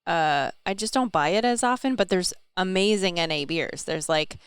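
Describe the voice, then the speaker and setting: A woman's voice: on the low side, moderate pace, smooth, dry recording, clean studio sound. A young adult woman co-hosting a podcast, talking in a candid, chatty way. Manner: candid, chatty, swears casually. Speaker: podcast co-host. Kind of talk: casual chat.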